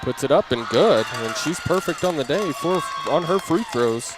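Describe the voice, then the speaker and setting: A man's voice: fairly high pitch, lively intonation, moderate pace. A man calling live basketball play-by-play in clipped running phrases, following each move as it happens. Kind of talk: live radio-style play-by-play commentary. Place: indoor basketball gym during a game. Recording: crowd and gym noise behind. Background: crowd, bouncing basketball.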